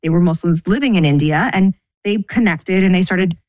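A middle-aged woman from the United States, talking thoughtfully about her upbringing as an interview guest, pausing and restarting as she thinks. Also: fairly low pitch, a little mellow, dry recording. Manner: thoughtful, measured, restarts mid-sentence. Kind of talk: reflective personal interview.

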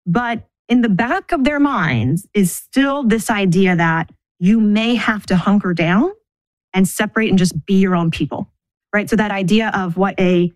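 A middle-aged woman from the United States, talking thoughtfully about her upbringing as an interview guest, pausing and restarting as she thinks. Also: mid-range voice, dry recording, rough recording. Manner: thoughtful, measured, restarts mid-sentence. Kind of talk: reflective personal interview.

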